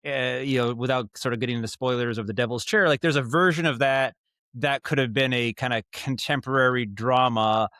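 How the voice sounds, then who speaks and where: A man speaks as a guest in a casual interview, his voice at a medium pitch. He rambles thoughtfully, circling back to rephrase his ideas. The sound is dry and free of room echo. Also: brisk, pushed and bright. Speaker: podcast guest, writer and audio drama director.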